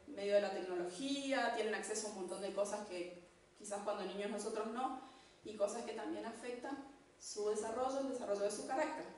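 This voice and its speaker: A woman from Argentina with an Argentine accent, giving a church teaching talk in an unhurried way, with fillers and restarts. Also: a little high. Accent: Argentine Spanish. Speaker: church speaker. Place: church hall. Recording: echoey hall, distant mic, faint noise.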